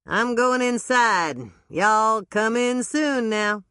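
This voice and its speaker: A woman voicing a man doing a bad Southern Elvis impression, loud and drawling in a put-on Southern US accent. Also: medium pitch, slow, smooth, no echo, studio quality, pitched above the performer's own voice. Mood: boisterous.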